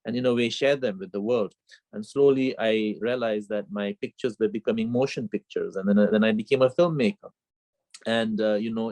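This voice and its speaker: Earnest bhutanese-born guy, middle-aged director, video call from home, dry sound, mid pitch. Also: darkish tone, no echo. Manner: earnest, repeats phrases, frequent "you know". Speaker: film director. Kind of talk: remote interview, reflective storytelling. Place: home with children nearby.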